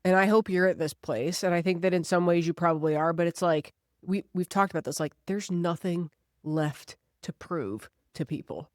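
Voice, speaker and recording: Clear-voiced woman in her thirties, earnest. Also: fairly low pitch, moderate pace, dry recording, clean studio sound. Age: 30s. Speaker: podcast co-host. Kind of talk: casual, reflective conversation.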